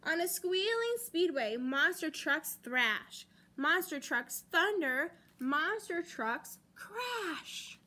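A young American woman reading a picture book aloud to children as a storytime host, with deliberate, dramatic emphasis on key words. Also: extremely high pitch, sing-song, pushed and bright. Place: library children's room, indoors.

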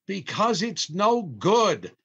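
A man's voice: high voice, very animated, slow pace, fairly bright tone, husky, exaggerated voice. An older man playing a long-suffering, scolding family man in an old-time radio comedy, theatrical and exasperated. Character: exasperated, long-suffering grumbling husband and father. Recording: slightly dull online recording.

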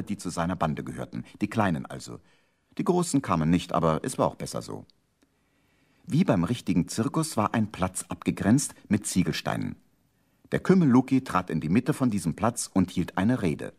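A man's voice: deep voice, very animated, moderate pace, creaky, darkish tone, breathy edge, heavily acted. A man narrating a children's radio play from the 1970s in a storytelling manner.

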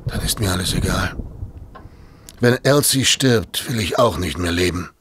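An actor in a German audio drama playing a bitter, lovesick and possessive gangster with an intense, defiant manner. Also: male voice, low-pitched, animated, normal speed, noisy background. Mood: despairing.

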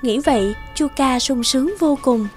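A woman narrating a scripted fairy tale for a children's cartoon in a measured storytelling manner. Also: high voice. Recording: music clearly behind the voice.

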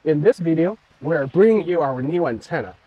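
A man's voice: on the high side, animated, normal speed, slightly rough, phone-quality sound. A young man presenting a product to camera outdoors on an open lawn.

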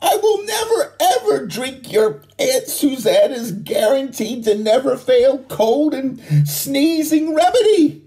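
Man doing a high, whiny, squeamish storybook boy. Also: big pitch swings, slow pace, warm tone, heavily acted, poor audio. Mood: disgusted. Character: squeamish, sniffly young narrator boy, easily grossed out.

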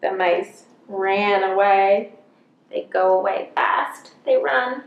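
A woman's voice: mid-range voice, phone-quality sound. A woman in her thirties reads a picture book aloud to young children, explaining simply and stressing key words. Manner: slow, deliberate, emphasizing key words. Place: small plain indoor room. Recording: roomy indoor echo.

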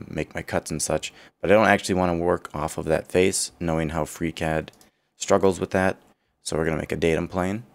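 Deep, gravelly male tutor with a worn-out voice, calm self-correcting software walkthrough. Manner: thinking aloud, self-correcting. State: partly lost voice, low and rough. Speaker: CAD tutorial instructor. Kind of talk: screen-recorded software walkthrough.

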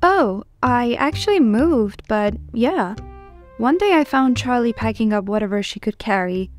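A woman's voice: a little high, lively intonation, measured pace, faint music behind. A young woman acting in a comic dub as a chatty, caring friend, with natural conversational delivery. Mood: subdued. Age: young adult.

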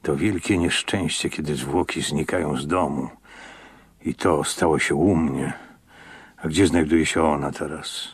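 A weary, very deep-voiced innkeeper. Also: male voice, fairly even intonation, exaggerated voice. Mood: bitter, resigned. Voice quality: husky. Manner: deliberate, resigned, weighty. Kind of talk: radio drama acting.

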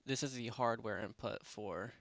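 A man narrating a software tutorial in a casual, unscripted, rambling way, speaking informally with lots of filler phrases. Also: on the low side, normal speed, gravelly, dry recording. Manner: rambling, informal, repeats "basically" and "you know". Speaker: tutorial presenter. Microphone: close headset microphone.

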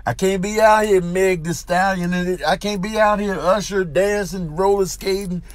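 A man's voice: sits high, fairly even intonation, moderate pace, no echo. A man doing comic voice-over commentary in conversational, rambling riffs full of slang. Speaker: comedic voice-over performer.

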